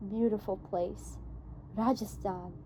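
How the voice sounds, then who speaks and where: Woman reading as slow-spoken, encouraging male therapist. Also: medium pitch, fairly even intonation, mellow, slight background noise, lightly acted, poor audio. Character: friendly, encouraging male physical therapist in a novel.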